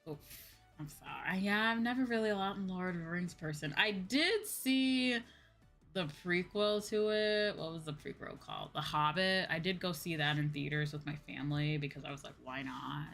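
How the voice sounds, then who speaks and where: A woman's voice: on the low side, moderate pace, vocal fry, a bit bright, soft background music. A woman streamer chatting casually to her livestream, with an exasperated, venting manner and some casual swearing. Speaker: VTuber streamer, host.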